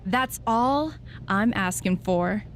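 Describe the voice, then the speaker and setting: A woman's voice: medium pitch, expressive, slow, a little hiss or hum. A woman playing a brash, rebellious pro wrestler in a video game cutscene, cocky and goading as she talks. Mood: brash, excited. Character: brash, rebellious, eager female pro wrestler pitching chaos.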